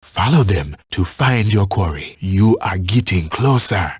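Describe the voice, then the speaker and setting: A watchful safari tracker guiding a hunt in a video game. His voice is very husky and fairly low, recorded dry and close. He instructs in formal, measured wording with an animated rise and fall. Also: dry recording, exaggerated voice. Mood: calm, watchful. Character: male African safari tracker, watchful, instructive hunting guide. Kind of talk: scripted video game voice lines.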